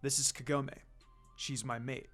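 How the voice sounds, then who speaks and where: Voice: mid-range voice, flat delivery, unhurried, fairly bright tone, faint music behind, voice pushed down, close to an everyday voice. A narrator voicing a stoic, reserved male demon dog lord in terse, grave phrases. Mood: curt, reserved.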